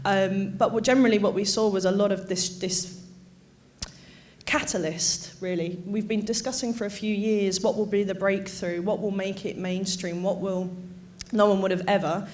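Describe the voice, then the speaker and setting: A woman's voice: mid-range voice, steady tone, moderate pace, a little mellow. A woman speaking on a conference panel, chatty and a little rambling, often restarting her sentences. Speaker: agency panelist. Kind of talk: panel discussion.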